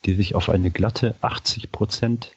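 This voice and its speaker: A man's voice: on the low side, fairly even intonation, measured pace, breathy edge, no echo. A man on a podcast panel, casually reviewing retro video games. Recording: dry but dull, band-limited sound.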